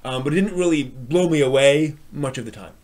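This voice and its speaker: A man hosting a live stream review, talking unscripted in a halting way, often repeating and rephrasing himself. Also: medium pitch, darkish tone. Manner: frequent throat clearing.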